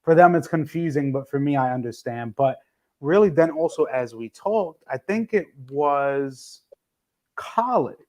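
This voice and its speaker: A man's voice: on the high side, a little mellow. A man hosting a casual podcast interview, rambling through long, loose questions with frequent restarts. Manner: rambling, restarts often, drawn-out phrasing. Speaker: podcast host.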